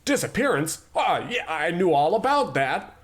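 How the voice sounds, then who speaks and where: A man's voice: high voice, very animated, normal speed, breathy edge, full character voice. An actor playing a pompous, ruthless rich tycoon in a radio-style comedy, talking down to his staff with sinister muttered asides. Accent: New England. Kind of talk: old-time radio comedy acting.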